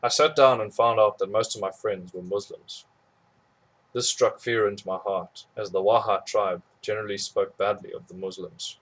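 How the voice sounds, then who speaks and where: A man reads a memoir aloud, telling it plainly and steadily with no frills. His voice sits on the low side and has a husky, slightly breathy edge. The delivery is unhurried narration throughout. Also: poor audio. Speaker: audiobook reader.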